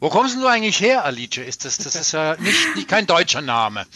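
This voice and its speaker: A man's voice: a little high, sing-song, fairly quick, pushed and bright. A middle-aged man chatting and telling stories casually as the host of a podcast. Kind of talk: casual podcast chat and storytelling.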